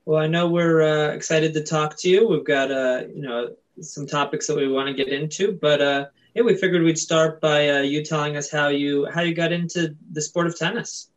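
A man's voice: a little high, fairly even intonation, normal speed, hardly any pauses, low-quality recording. A man hosting an interview, welcoming a guest and asking friendly questions with many fillers. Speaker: podcast host.

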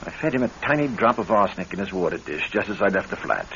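A suave, greedy husband with menace under the mockery. His delivery swings dramatically in pitch, with a gravelly edge. It is classic radio drama, heard through a dull, faintly hissy old recording. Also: big pitch swings, fast talker, bright, forceful tone, exaggerated voice. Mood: smooth, cold. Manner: suave, sardonic, mocking. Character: scheming greedy husband turning menacing and violent. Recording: muffled old radio broadcast with faint hiss.

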